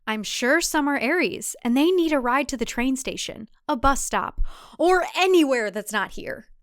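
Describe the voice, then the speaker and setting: Woman voicing defiant heroine, controlled, firm, cold, decisive, clear voice, audio drama. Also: animated, normal speed, no echo, clean studio sound. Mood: steady persuasion. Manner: low, controlled, firm delivery.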